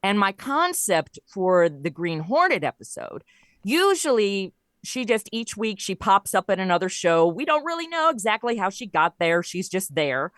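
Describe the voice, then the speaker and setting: A woman's voice: mid-range voice, very animated, normal speed, a bit bright, dry recording, clean studio sound. A woman chatting casually as an interview guest, explaining her creative work in a talkative storytelling way. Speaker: interview guest, audio drama writer and producer.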